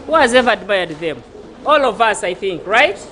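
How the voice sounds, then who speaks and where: A woman's voice: mid-range voice, expressive, moderate pace, fairly bright tone, slight background noise. A woman teacher addressing pupils after a school debate, encouraging them and often asking them to reply. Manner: encouraging, asks pupils for replies. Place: school assembly.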